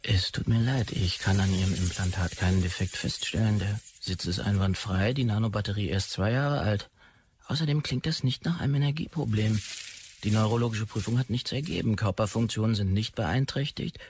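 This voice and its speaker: An actor playing a dismissive, officious implant technician in a sci-fi audio drama, patronising and slightly hesitant. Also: male voice, lowish voice, fairly quick, slight background noise, close to an everyday voice. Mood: condescending.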